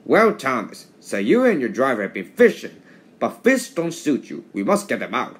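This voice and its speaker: A man's voice: mid-range voice, huge pitch swings, normal speed. A man playing a stern but kindly railway boss in a children's storybook, formal and exclamatory. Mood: gently scolding.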